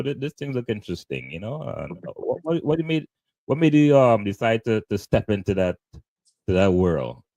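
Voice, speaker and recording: A man's voice: medium pitch, darkish tone, no room sound. A man hosting a podcast, asking questions and affirming often with "yeah, man". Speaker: podcast host. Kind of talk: casual interview conversation.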